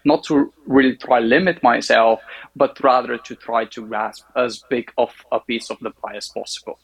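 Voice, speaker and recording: A man's voice: medium pitch, fairly even intonation, gravelly, breathy edge, rough recording. A man from Bulgaria speaking English with a Bulgarian accent, a podcast guest giving articulate, confident answers about his marketing work. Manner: articulate, confident, measured explanations. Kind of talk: interview answers.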